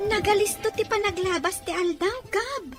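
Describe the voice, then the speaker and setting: A woman's voice: extremely high pitch, moderate pace, over music. A woman playing a young blind girl who is eager to learn, in an audio drama. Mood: hopeful. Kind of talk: audio drama dialogue in Ilocano.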